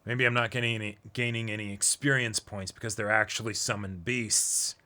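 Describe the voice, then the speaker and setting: A man's voice: lowish voice, fairly even intonation, a little fast, pushed and bright. A man casually reading a comic aloud and commenting on it as co-host of a read-along.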